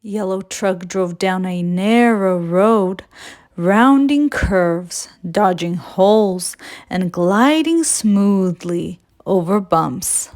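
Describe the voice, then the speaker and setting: Slow-paced woman reading a children's story. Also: medium pitch, expressive, a little mellow. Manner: slow, deliberate, dramatic phrasing. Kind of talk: expressive storybook read-aloud.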